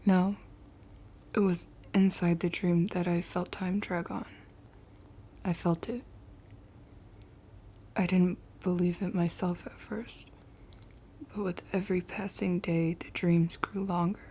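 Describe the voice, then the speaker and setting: A woman playing a troubled, weary male patient in a horror manga dub, hushed, earnest and haunted. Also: lowish voice, little pitch movement, takes it slowly, long pauses, slight background noise.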